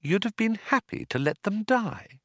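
A man voicing a patient, bright and compassionate but firm woman with a soothing lilt in a storybook reading. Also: sits high, lively intonation, moderate pace, no echo, studio quality, voice pushed up. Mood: patient, reproachful. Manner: lilting, soothing.

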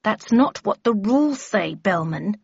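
A woman's voice: mid-range voice, animated, unhurried, vocal fry, darkish tone, husky, no echo, lo-fi sound, heavily acted. Lady playing arrogant middle-aged guy, hostile and indignant, audiobook, dry dullish recording. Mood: angry. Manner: challenging, indignant.

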